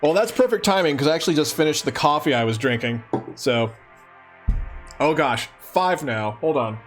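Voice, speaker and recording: A playful, chatty man reading a webcomic aloud and commenting casually on a livestream, often giggly and thinking out loud. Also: fairly high pitch, lively intonation, normal speed, soft background music. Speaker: streamer.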